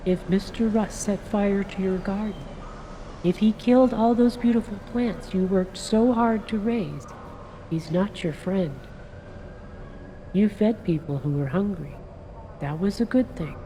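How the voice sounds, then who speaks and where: A woman playing a calm, coaxing female detective. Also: mid-range voice, slight background noise, natural voice. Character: patient female police detective. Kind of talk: audio drama acting.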